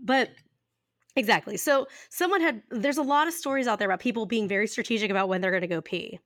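A woman in her thirties co-hosting a podcast, raspy and a little hoarse from a cough, chatting casually and laughing often as she rambles through stories. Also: fairly high pitch, quick pace, fairly bright tone, dry recording, clean studio sound. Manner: giggly, rambling storyteller who laughs often. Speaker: podcast co-host. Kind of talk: casual chat.

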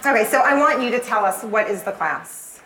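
A woman hosting a live interview in an event space, her voice pitched a little high. She speaks earnestly and turns to address the audience, with faint ambient noise behind her. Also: lively intonation, a little fast. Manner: warm, earnest, addresses the crowd. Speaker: host.

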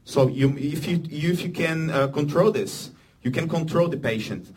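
A man from Brazil with a Brazilian Portuguese accent, a surgeon speaking halting non-native English to an audience. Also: fairly high pitch, slightly rough. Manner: halting non-native English, animated when acting out patients. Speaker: orthopedic surgeon speaking as guest. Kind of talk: unscripted talk to an audience.

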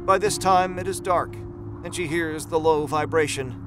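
Higher-voiced man narrating horror over music. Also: moderate pace. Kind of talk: scripted narration of horror fiction.

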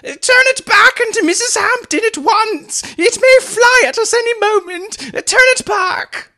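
A man voicing an excitable elderly aunt in a high, shrieking falsetto. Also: unhurried, creaky, full character voice. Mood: panicked.

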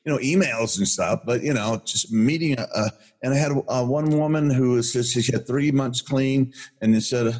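An older man with a booming voice, co-hosting a podcast and telling a story in an enthusiastic, rambling way with many restarts. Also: normal speed, a little mellow. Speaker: podcast co-host. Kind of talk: casual rambling chat.

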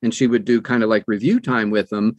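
An older man with a fairly low voice tells anecdotes as an interview guest. The sound is dry and close, with little room in it. He speaks conversationally, as an audiobook narrator off duty. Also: fairly even intonation, fairly quick, no echo.

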